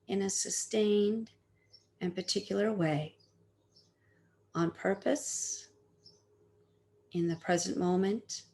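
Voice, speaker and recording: A woman leads a guided meditation in a fairly low voice. She speaks very slowly and gently, leaving long pauses between phrases. Her delivery is soothing, like a teacher giving soft instructions.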